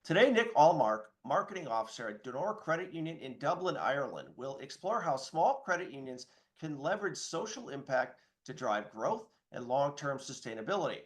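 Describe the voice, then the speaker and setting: A man's voice: mid-range voice, big pitch swings, a little fast, few pauses. A man hosting a webinar, giving a polished, formal scripted introduction. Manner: polished, formal announcer delivery. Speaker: webinar host.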